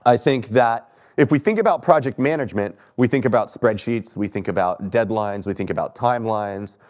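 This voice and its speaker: A man's voice: on the low side, moderate pace, a little mellow. A man in his thirties from Texas with a Texan accent, sounding stuffy from allergies, giving a conversational, self-deprecating conference talk from a stage. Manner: conversational, self-deprecating, asks audience questions. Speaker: keynote speaker. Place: stage in a lecture hall.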